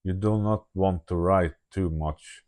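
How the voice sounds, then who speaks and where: A man with a deep, low voice and a Swedish accent reads his own written text aloud in English. He goes slowly and carefully and corrects small slips as he goes, in a diary-like personal vlog recorded on a phone with an add-on microphone. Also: steady tone, darkish tone. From Sweden. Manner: slow, careful reading with self-corrections. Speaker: vlogger. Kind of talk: reading a prepared diary monologue. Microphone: phone with external microphone.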